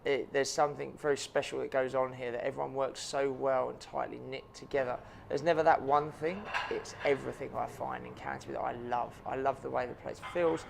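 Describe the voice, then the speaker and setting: A man, a chef in his thirties, talks about his work in a gravelly, mid-pitched voice. He answers earnestly, with frequent ums and restarts. A faint hum of noise sits under the recording. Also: steady tone, a little fast, hardly any pauses. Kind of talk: interview answers.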